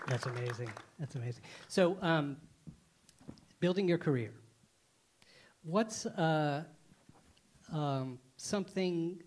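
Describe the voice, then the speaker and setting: A man with a slightly husky voice moderates a filmmakers' panel. He speaks at an ordinary mid pitch and strings his questions together casually, restarting as he goes. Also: a little mellow. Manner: easygoing, rambling questions.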